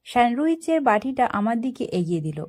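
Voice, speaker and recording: A woman storyteller narrating a first-person story in an expressive, dramatic way. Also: a little high, a little fast.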